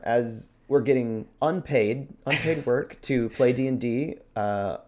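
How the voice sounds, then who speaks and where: A man's voice: mid-range voice, darkish tone. A man co-hosting an instructional chat about character voices, explaining things in an informal, conversational way. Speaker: co-host with acting background.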